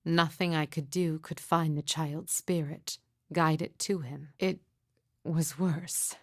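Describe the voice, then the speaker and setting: A woman playing a grieving, mystical midwife in a fantasy game, speaking in a solemn, formal, measured way with deliberate pauses. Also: sits low, fairly even intonation, no room sound, studio quality. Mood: sorrowful, haunted. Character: grieving mother, solemn sorrowful mystic midwife with haunted calm. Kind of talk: scripted fantasy game dialogue.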